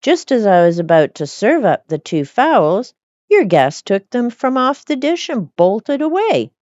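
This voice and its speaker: Woman reader as greedy, merry fairy-tale cook: wheedling, very animated, dry lo-fi sound. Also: fairly high pitch, unhurried, dry recording, voice pushed up. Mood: sly, urgent. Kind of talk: storybook character reading.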